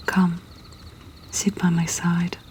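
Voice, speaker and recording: A woman softly whispering a poetry reading as an intimate storyteller host, breathy and deliberate with long pauses. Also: fairly low pitch, monotone, slight background noise.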